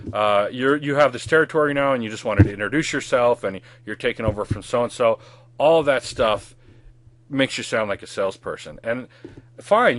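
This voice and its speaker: A man's voice: mid-range voice, a bit bright, no room sound. A man giving an instructional sales training talk in a direct, conversational way, stressing his key points.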